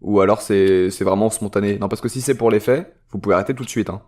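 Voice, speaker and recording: A fussy, dry boss doctor played by a man in a comic audio serial. His voice is on the low side, and he picks his words pedantically and haltingly. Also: darkish tone, lightly acted. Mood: irritated. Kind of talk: comic audio drama acting.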